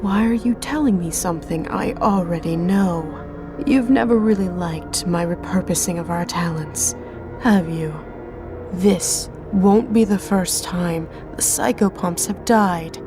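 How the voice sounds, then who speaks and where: Woman acting slow, menacing death goddess over music. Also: on the low side. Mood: stern. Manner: slow, cutting, imperious. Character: menacing Grim Reaper goddess, commanding and coldly questioning. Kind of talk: audio drama acting.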